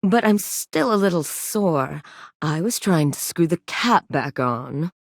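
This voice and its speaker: A woman's voice: fairly low pitch, lively intonation, slow pace, vocal fry, no echo, studio quality, exaggerated voice. A woman playing a saucy, shameless, teasing character in a video game, with a suggestive, playful way of talking. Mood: coy, defensive.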